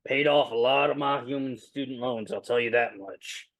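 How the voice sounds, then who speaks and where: A man's voice: mid-range voice, moderate pace, a bit bright, dry recording, poor audio. Male tabletop player, dry close sound. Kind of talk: casual in-game banter.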